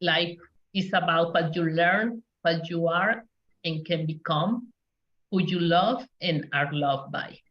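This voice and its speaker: Woman panelist, slow and hesitant, distant roomy call audio. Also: fairly low pitch, lo-fi sound. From Mexico. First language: Spanish. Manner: measured, hesitant with many fillers. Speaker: community engagement specialist, panelist. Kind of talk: panel talk on a video call.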